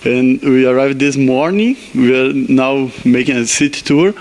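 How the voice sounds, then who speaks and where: A man's voice: medium pitch, unhurried, a little mellow. Young man from Brazil, street interview. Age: young adult. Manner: friendly, repeats words. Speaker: exchange student being interviewed.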